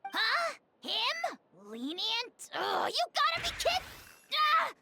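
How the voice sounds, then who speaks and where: Squeaky, very high childlike fairy. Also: female voice, big pitch swings, takes it slowly, creaky, heavy background noise, full character voice. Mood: incredulous. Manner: exclamatory, dramatic, talks about self in third person. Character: small floating fairy companion, childlike, excitable, easily flustered girl. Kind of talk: video game voice acting.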